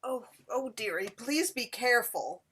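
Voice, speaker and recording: A woman playing a doting, doubtful and pious elderly wife, gently fussing in a play reading. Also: on the high side, lively intonation, measured pace, a little hiss or hum. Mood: anxious. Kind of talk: acted dialogue in a play reading.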